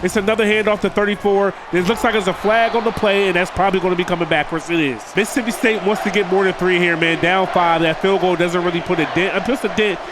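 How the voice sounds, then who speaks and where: A man's voice: high voice, steady tone, quick pace, few pauses, noisy background. A man with a cold, sounding nasal and stuffed up, doing casual play-by-play commentary over a football video game and talking to his viewers as "boys.". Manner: addresses viewers as "boys", hypes big plays. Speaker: gaming video creator.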